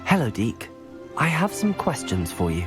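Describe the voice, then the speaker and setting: An actor playing a polite, helpful young student wizard in a video game, speaking in a courteous, earnest way. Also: male voice, mid-range voice, wildly swooping pitch, moderate pace. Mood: polite, curious. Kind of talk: video game character dialogue. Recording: music clearly behind the voice.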